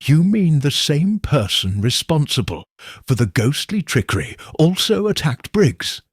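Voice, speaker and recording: A man's voice: fairly high pitch, very animated, a little slow, slightly rough, no room sound, clean studio sound, pitched above the performer's own voice, heavily acted. A man voicing an earnest, loyal companion who speaks in a pressing, earnest way.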